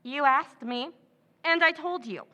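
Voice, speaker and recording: A woman's voice: high-pitched, unhurried, bright, forceful tone. Woman as a cold, scheming sister. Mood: contemptuous. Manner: cold, cutting, sneering. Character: scheming, contemptuous younger sister, adult woman. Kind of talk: old-time radio drama recreation.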